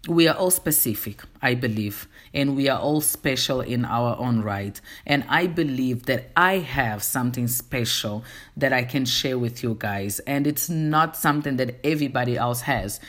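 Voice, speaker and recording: A woman's voice: extremely low pitch, animated, normal speed. A woman with a Portuguese accent, a native Portuguese speaker, hosting her own podcast and speaking earnestly straight to her listeners in a casual, faith-centered monologue. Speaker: podcast host.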